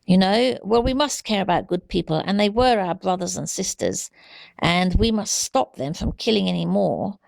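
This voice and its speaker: Halting, very creaky-voiced woman. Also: mid-range voice, lively intonation, darkish tone, dry recording. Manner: halting, earnest, repetitive. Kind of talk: unscripted monologue, urgent exhortation.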